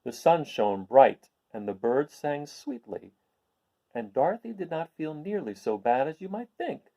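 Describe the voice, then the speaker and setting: A man reading a storybook aloud as a host, in an expressive, explanatory manner. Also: on the high side, gravelly, poor audio. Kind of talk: expressive storybook read-aloud with informal introduction.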